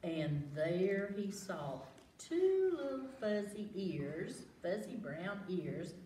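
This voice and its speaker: Female children's storytime reader, very expressive and unhurried, engaging listeners, recorded at a distance in a roomy space. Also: mid-range voice. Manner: animated, asks listeners questions, exclaims. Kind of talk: read-aloud picture-book storytelling to children. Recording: roomy, distant mic, faint background noise.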